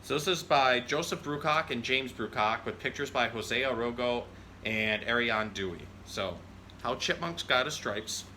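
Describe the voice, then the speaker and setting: A man hosts a children's animal storytime in the role of a zookeeper. His voice is bright and forceful, and he explains things conversationally, moving between casual teaching and reading aloud. Faint noise sits behind him. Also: mid-range voice, moderate pace, low-quality recording.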